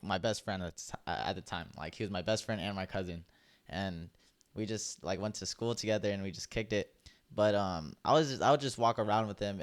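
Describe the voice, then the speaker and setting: A young man co-hosting a podcast, telling stories in a relaxed, chatty way and leaning on "like" often. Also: lowish voice. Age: young adult. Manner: laid-back storytelling, heavy use of "like". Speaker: podcast co-host. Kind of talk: casual chat.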